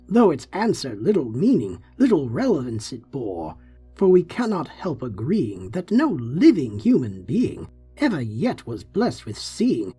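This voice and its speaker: A narrator giving a brooding, theatrical reading of gothic poetry, moving between hushed and forceful delivery. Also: mid-range voice, slightly rough. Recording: faint music behind. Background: faint music.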